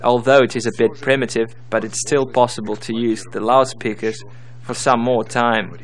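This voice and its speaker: Male tutorial narrator, mid-pitched, reading scripted instructional material. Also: fairly even intonation, normal speed.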